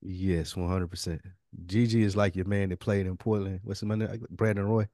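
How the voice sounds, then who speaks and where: A man's voice: deep voice, fairly even intonation, normal speed, mellow, no echo, studio quality. A man co-hosting a podcast, bantering in an informal, slangy way as he thinks aloud. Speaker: podcast co-host. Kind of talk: casual banter about a TV series fantasy draft.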